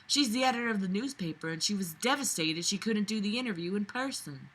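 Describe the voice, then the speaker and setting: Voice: mid-range voice, expressive, quick pace, fairly bright tone, breathy edge, pitched above the performer's own voice, poor audio. A woman voicing a shy, flustered young female shop clerk from an audiobook-style novel reading.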